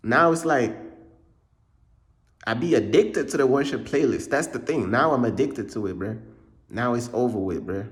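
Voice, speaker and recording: A man's voice: mid-range voice, moderate pace, pauses often. Slangy young man from Uganda. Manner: slangy, repetitive, peppers speech with bro. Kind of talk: casual vlog monologue.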